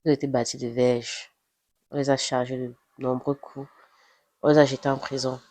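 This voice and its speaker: A woman's voice: very deep voice, moderate pace, no echo. Woman, nasal from flu, casual Bible reflection, dry close sound. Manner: thinks aloud, with restarts and rising excitement. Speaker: Bible reader sharing reflections.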